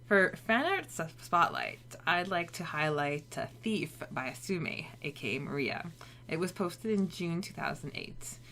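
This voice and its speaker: A woman's voice: on the low side, huge pitch swings, measured pace, pushed and bright. A woman co-hosting a podcast, chatting casually about fiction. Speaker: podcast co-host.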